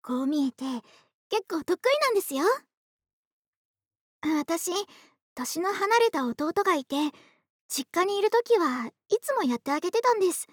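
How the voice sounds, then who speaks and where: Very high-voiced gentle young woman next door. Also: big pitch swings, normal speed, pauses often, no room sound, clean studio sound. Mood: politely eager. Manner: soft-spoken, courteous, polite speech, affectionate. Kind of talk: Japanese ASMR situation voice drama, intimate roleplay. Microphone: close studio microphone, dry.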